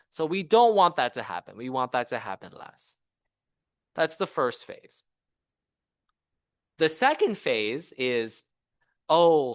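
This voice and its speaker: A man giving an engineering seminar talk as a professor, in a conversational, animated manner with rhetorical questions. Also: medium pitch, dry recording, clean studio sound. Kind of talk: engineering lecture. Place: seminar room at a university.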